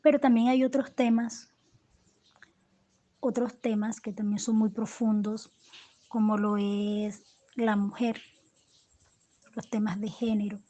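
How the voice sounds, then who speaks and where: Slow, halting woman poet, soft mellow voice. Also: medium pitch, flat delivery. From Colombia. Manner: halting, many restarts and repeated words. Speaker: poet and storyteller, interview guest. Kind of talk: reflective interview answers.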